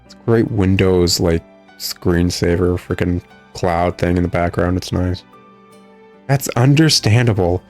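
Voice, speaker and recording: A man's voice: deep voice, measured pace, darkish tone, faint music behind. A man giving sarcastic, exasperated gameplay commentary. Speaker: gamer commentating a playthrough. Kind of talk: mocking gameplay commentary, reading game text aloud.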